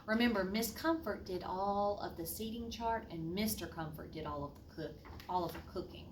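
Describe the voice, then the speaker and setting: A woman teacher reading a children's picture book aloud in an expressive way, with asides to young listeners. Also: mid-range voice, normal speed. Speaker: fourth grade teacher. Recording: roomy, distant mic, faint noise.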